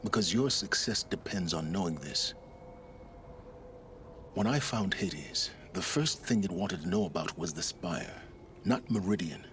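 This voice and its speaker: An actor playing an enigmatic, cynical older male scholar in a video game cutscene, eloquent and measured. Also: sits low, expressive, slight background noise. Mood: measured, probing. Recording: loud music behind the voice.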